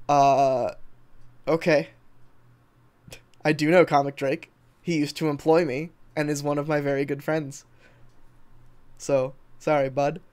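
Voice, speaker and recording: A man's voice: on the high side, little pitch movement, a little slow, long pauses, a little mellow, smooth. An American man from Texas chatting informally on a livestream, rambling and swearing as he reads and answers comments. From Texas, United States. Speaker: livestreamer and video editor. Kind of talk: casual livestream chat, reading and answering comments.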